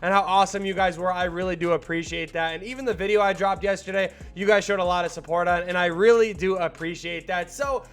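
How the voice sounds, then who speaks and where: High-voiced hyped young gamer guy. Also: steady tone, fast, few pauses, faint music behind. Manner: hyped, slangy and rambling, with filler words. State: still a little stuffed up from a cold. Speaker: gaming YouTuber. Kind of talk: casual gameplay commentary.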